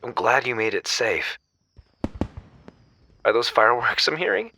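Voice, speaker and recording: Caring male friend, acted by a man: bright forward tone, mid pitch, inquisitive, conversational audio drama. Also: very animated, measured pace, creaky, slight background noise, heavily acted. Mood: friendly. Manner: conversational, attentive.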